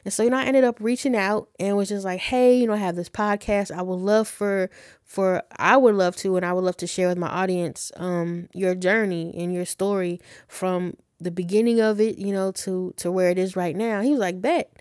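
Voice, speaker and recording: A woman's voice: medium pitch, darkish tone, no echo, clean studio sound. A woman with an American accent giving a casual introduction as a podcast host.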